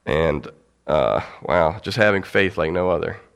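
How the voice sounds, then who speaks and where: A young man with a cold giving a casual, halting Bible teaching to his church, with fillers and light laughs. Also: low-pitched, animated, gravelly, clean tone. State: has a cold.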